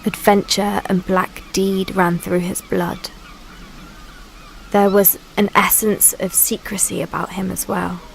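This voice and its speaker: Casting: woman as the ancient Sea, solemn storyteller, unhurried poetic delivery, mid pitch, rain and water behind. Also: level tone, vocal fry, slight background noise, low-quality recording. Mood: brooding. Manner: grave, poetic, deliberate. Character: the ancient Sea itself, solemn, brooding female narrator. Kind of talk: scripted dramatic narration in a radio play.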